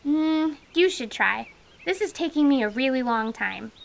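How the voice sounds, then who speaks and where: A woman's voice: high voice, expressive, moderate pace, creaky. A woman voicing a bold, blunt little girl in a radio drama, speaking frankly. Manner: frank, chattery, plain-spoken.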